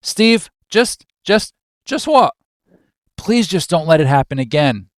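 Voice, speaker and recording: A man acts a squeamish, aggrieved office worker in a comic scripted drama. He sounds fussy and melodramatic, fairly high in pitch, in a dry recording. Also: very animated, slow, dry recording. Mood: disgusted, aggrieved. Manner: indignant, melodramatic.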